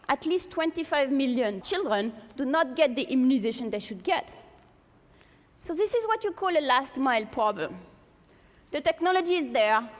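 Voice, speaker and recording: A woman from France with a strong French accent, giving a public lecture to an audience in a large hall and posing many rhetorical questions. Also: high-pitched. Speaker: speaker giving a talk to an audience. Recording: long hall reverb.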